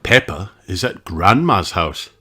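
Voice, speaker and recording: A man narrates a children's storybook with very lively, swooping intonation. He takes his time over each sentence, and there is faint noise behind him. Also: low-pitched. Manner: measured, animated read-aloud.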